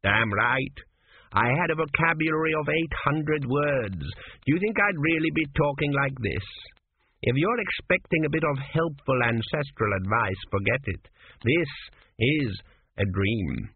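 A man's voice: mid-range voice, lively intonation, unhurried, creaky, husky, pitched above the performer's own voice, exaggerated voice. A man voicing a crude, cheeky old male ancestor who was a swindler, with a blunt, sneering manner. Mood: offhand. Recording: tinny phone-like sound, faint hiss.

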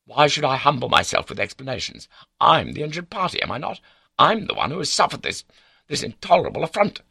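Pompous indignant baronet for an audiobook, forceful and blustery, raspy edge, haughty sneer. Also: male voice, on the low side, very animated, fairly quick, no room sound, clean studio sound, voice pushed up, full character voice. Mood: haughty, vindictive outrage. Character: arrogant, indignant upper-class baronet, a gentleman officer. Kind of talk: audiobook character dialogue.

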